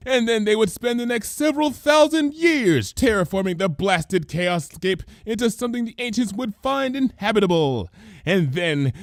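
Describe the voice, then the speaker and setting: A high, wildly animated young mare, proclaiming grand conspiracies as a storybook character. The delivery is theatrical and unhinged, recorded close and dry. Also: male voice, no echo. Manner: dramatic, proclaiming.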